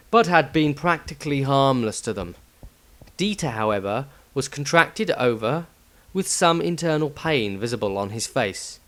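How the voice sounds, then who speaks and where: A man reading a fantasy story aloud as a solemn, measured narrator in a dramatic scripted style. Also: mid-range voice, fairly bright tone. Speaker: author reading own fiction aloud.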